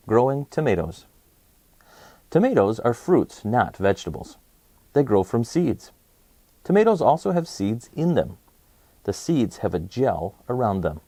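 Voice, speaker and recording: A man's voice: mid-range voice, warm tone, dry recording. Man reading to kids with swooping intonation. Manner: clear, simple phrasing addressed to children. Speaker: children's read-aloud host. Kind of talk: educational picture book read-aloud for kids.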